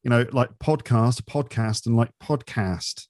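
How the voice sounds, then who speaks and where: A British man with a British English accent, an English teacher and podcast host, explaining things in an animated, casual way with examples. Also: medium pitch, unhurried, no echo, studio quality. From Britain. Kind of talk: casual interview chat.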